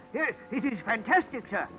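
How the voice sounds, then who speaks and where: A man playing a genial, verbose deep-sea salvage expert in a 1950s radio drama, speaking in a pompous, florid and formal manner. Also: lively intonation, measured pace. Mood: boastful. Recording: dull, noisy old radio recording.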